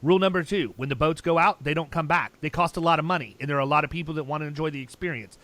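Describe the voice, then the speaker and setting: A man's voice: fairly high pitch, rapid-fire, fairly bright tone. A man hosting a podcast, telling stories in a blunt, emphatic and talkative way, often restarting his sentences. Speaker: podcast host. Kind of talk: casual podcast chat.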